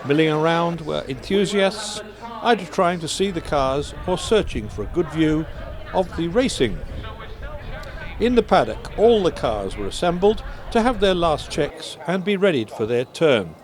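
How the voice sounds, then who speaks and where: A man's voice: a little high, very animated, a little slow. A man reading a scripted newsreel narration about an event.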